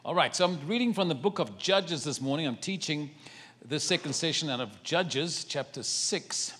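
Man, South African accent, guest preacher, animated delivery, reverberant church hall. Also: on the high side, normal speed. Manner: playful, dramatic Bible reading. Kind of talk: sermon with scripture reading. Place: church sanctuary.

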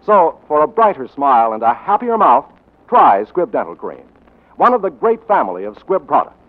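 A man's voice: a little high, big pitch swings, moderate pace. An American man announcing and reading sponsor copy in a formal, emphatic 1940s radio style. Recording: muffled 1940s radio broadcast with faint music.

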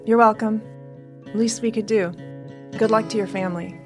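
A calm mother speaks in a steady, reassuring way, as a woman voices her. Soft music plays under her lines. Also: mid-range voice, normal speed, close to an everyday voice. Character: steady protective mother, Tessa.

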